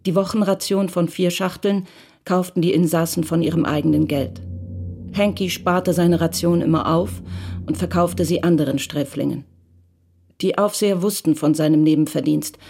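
A woman narrating a German radio play from a script in a measured, matter-of-fact way. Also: fairly low pitch, steady tone, mellow, slight background noise.

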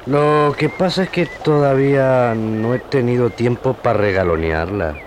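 A man's voice: medium pitch, unhurried, natural voice. Radio drama, male actor: cocky, threatening gaucho, rural Argentine accent, mid-range voice, slightly noisy recording. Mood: sly, mocking. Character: sly, menacing gaucho villain, cocky and cruel.

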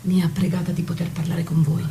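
A woman's voice: lowish voice, flat delivery, fairly quick, dark tone, slight background noise, natural voice. An actress playing a deferential, anxious lady's maid and dutiful wife in an Italian radio drama, speaking in a guarded way. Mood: deferential, pleading.

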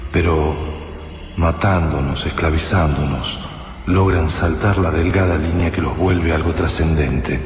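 An actor playing a brooding, reflective fighter in a slow, solemn radio drama monologue. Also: male voice, rumbling low. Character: brooding, reflective male fighter standing over a captive enemy. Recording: long reverb, clear background noise.

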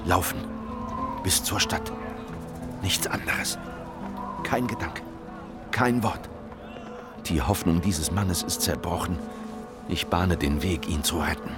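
A man's voice: low voice, slow pace, long pauses. An actor playing a haunted young revolutionary, telling of his flight in intense, clipped fragments in a radio play. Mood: haunted, tense. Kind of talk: dramatic first-person radio play narration. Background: prominent dramatic music.

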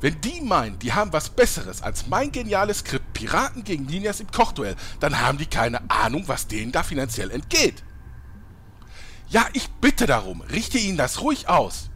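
A man's voice: a little high, huge pitch swings, normal speed, fairly bright tone, a little hiss or hum. A man playing an oblivious, self-important eccentric gamer in a comedy audio play, with a pompous, theatrical delivery. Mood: huffy self-importance.

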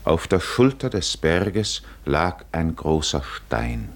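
A man narrating a literary 1950s radio drama in a solemn, measured manner with deliberate pauses. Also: rumbling low, very animated.

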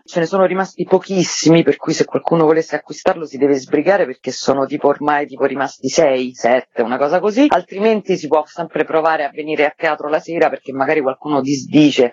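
A woman's voice: lowish voice, darkish tone, no echo. A woman giving informal, enthusiastic answers as a theatre-maker in a casual radio interview. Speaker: theatre writer, director and performer interviewed as guest. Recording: slightly dull, lo-fi, dry remote sound.

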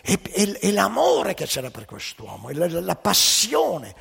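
A man telling jokes and stories on stage in a lively, dramatic way. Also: high-pitched, unhurried, creaky, husky. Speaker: stage storyteller. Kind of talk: theatrical storytelling with jokes. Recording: live stage show in a reverberant hall.

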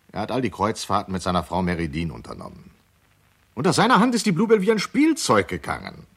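A defensive yacht owner gives testimony in a radio play. The voice is low and gravelly, with hugely swinging intonation. The manner is emphatic yet matter-of-fact. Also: male voice, fairly bright tone, full character voice. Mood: proud, bewildered. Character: defensive yacht owner giving testimony about his ship.

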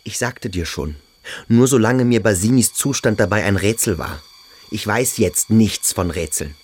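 Very low-voiced, defiant schoolboy. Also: male voice, lively intonation, moderate pace, husky. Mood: dismissive, disgusted. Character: defiant, disgusted, coolly reflective teenage boarding-school boy. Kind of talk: German radio play acting.

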